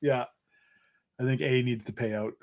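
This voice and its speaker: A man's voice: medium pitch, fairly even intonation, moderate pace, vocal fry, darkish tone. A man co-hosting a casual livestream chat, joking and laughing often. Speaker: co-host.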